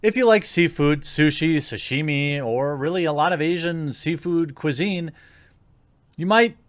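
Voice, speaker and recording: A man's voice: on the high side, fairly bright tone, dry recording, studio quality. A man giving opinionated video commentary in an indignant, informal, sarcastic manner. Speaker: YouTube commentator.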